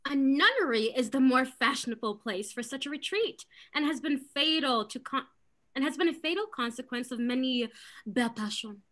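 A woman playing a witty, sharp-tongued young lady in a classical stage comedy, arch and mocking. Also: high voice, lively intonation, moderate pace. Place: indoors at home, on a video call.

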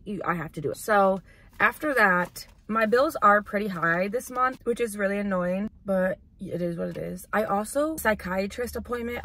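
A woman's voice: medium pitch, fairly bright tone, slight background noise. A woman in her twenties, chatting casually and rambling for a vlog while sitting in a parked car. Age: 20s. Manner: chatty, rambling, lots of "like" and "literally". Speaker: vlogger. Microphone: camera on dashboard.

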